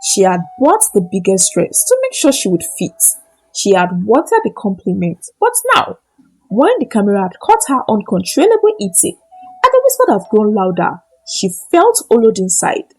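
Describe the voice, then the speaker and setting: Woman narrating a folktale, kinda raspy, really expressive up and down, dramatic short phrases, soft music under it. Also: medium pitch, normal speed, poor audio. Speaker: storyteller. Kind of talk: scripted narration of a moral folktale.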